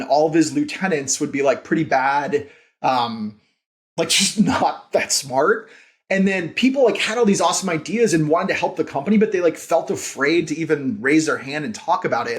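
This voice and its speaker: An American man in his thirties telling career stories in a casual interview, rambling and earnest, with "like" peppered through his sentences. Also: fairly high pitch. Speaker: podcast guest, company founder and CEO.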